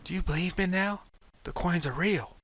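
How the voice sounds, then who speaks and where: A man's voice: normal speed, a bit bright, highs cut off, a little hiss or hum. A man voicing Kai, a frightened, worn-out young woman who pleads wearily for help. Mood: pleading. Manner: pleading, weary, raised pitch for a woman.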